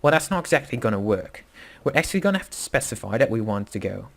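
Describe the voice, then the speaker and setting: A man's voice: fairly low pitch, very animated, quick pace, gravelly. Dutch-accented man explaining casually. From Netherlands. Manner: casual, hesitant, many fillers and self-corrections. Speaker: tutorial host. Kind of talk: screen-recorded coding tutorial.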